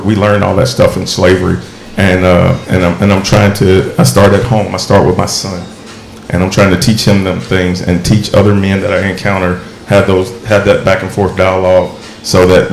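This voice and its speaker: A man, a retired military guest on a podcast panel, speaking earnestly and in a measured way. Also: low-pitched, completely flat delivery, creaky. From Mississippi. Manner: earnest, measured, restarts often. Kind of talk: casual panel discussion. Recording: echoey room, faint background noise.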